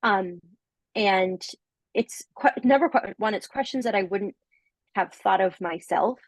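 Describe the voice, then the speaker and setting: A young woman talks through her coaching experience on what sounds like a video call. She speaks in halting bursts with plenty of fillers and restarts and laughs now and then. Her voice sits in a middle range, and the recording sounds dry and close. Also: mid-range voice, no echo, rough recording. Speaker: coaching client giving a testimonial. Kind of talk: casual reflective conversation.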